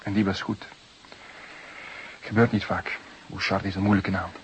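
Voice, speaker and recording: A man's voice: low voice, flat delivery, muffled recording, lightly acted. Hissy recording, actor as nervy young male suspect. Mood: subdued, guarded. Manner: nervy, halting, defensive. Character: desperate, defensive young male murder suspect, about twenty. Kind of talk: Dutch crime drama acting, interrogation. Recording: clearly hissy background noise.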